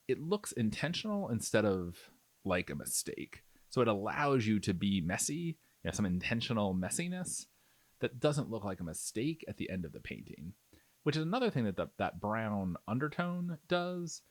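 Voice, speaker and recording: Low-voiced man with a lively, swooping delivery. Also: no room sound. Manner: conversational, thinking aloud. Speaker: miniature painting tutorial host. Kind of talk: casual, explanatory tutorial narration over painting.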